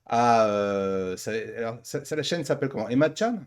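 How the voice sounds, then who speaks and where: Medium-pitched man, hesitant casual interviewer. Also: animated, normal speed. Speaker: host, interviewer.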